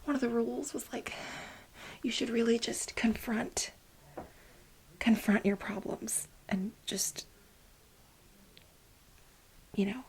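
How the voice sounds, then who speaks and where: A woman playing an aggrieved, manic, overworked research assistant in a soft-spoken, whispered ASMR role play, with halting, dramatic complaints. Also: on the high side, fairly even intonation, slight background noise, poor audio. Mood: tentative, confiding. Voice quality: breathy whisper.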